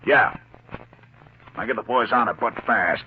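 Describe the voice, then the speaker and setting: An actor playing a tough, domineering gangster boss who talks curtly in gangster slang in an old-time radio crime drama. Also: male voice, fairly low pitch, unhurried, noisy background, natural voice. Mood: commanding. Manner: curt, barking orders in gangster slang. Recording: dull, band-limited old radio recording.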